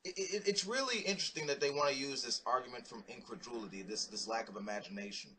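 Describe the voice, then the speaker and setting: A man talks in a conversational, mocking way. His voice sits at a medium pitch, and he often restarts his phrases as he comments. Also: fast talker, pushed and bright, a little hiss or hum.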